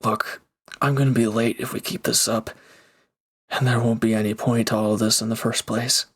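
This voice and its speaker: A man acting in an intimate audio drama as a gruff but caring reformed villain, earnest, halting and awkwardly affectionate. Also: medium pitch, flat delivery, close to an everyday voice. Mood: flustered, torn.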